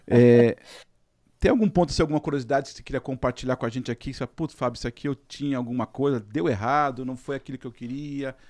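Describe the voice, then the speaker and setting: Fast-talking man hosting a chatty interview. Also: mid-range voice, darkish tone. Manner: quick, chatty, keeps checking in with "né?". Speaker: podcast host. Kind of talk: conversational interview.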